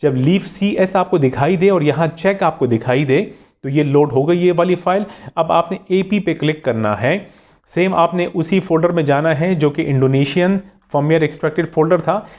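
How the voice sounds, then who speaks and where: A man's voice: fairly high pitch, a little mellow. A man hosting a tech tutorial, narrating step by step in a polite, instructional way and repeating key steps.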